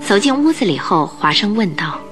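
A woman narrating a Mandarin radio drama as an expressive, scripted storyteller. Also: mid-range voice, brisk. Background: music.

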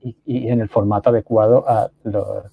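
A man speaking as the guest in a casual interview over a video call, explaining slowly with many "eh" pauses. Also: on the low side, fairly even intonation, creaky, slight background noise. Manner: hesitant, many "eh" fillers and restarts, explanatory. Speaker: guest, online course copywriter. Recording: dry tinny call audio.

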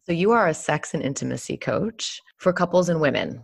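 A woman's voice: deep voice, lively intonation, brisk, gravelly, husky. A young woman hosting an interview podcast, enthusiastic and swearing casually as she introduces her guest. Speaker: podcast host.

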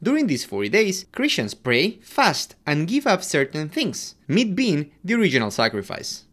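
Animated man from Ecuador co-hosting. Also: normal speed. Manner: animated, expressive delivery with wide pitch swings. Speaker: podcast co-host. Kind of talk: scripted podcast narration.

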